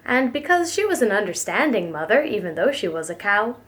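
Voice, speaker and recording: A woman reading a children's storybook aloud as a preschool teacher, with gentle, expressive emphasis. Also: medium pitch, a little fast.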